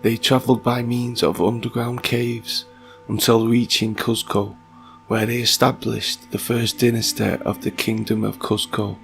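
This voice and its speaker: A man with a croaky, sore-throated voice, reading passages aloud and then musing on them in casual asides. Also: mid-range voice. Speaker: video narrator. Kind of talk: reading and commenting on mythology.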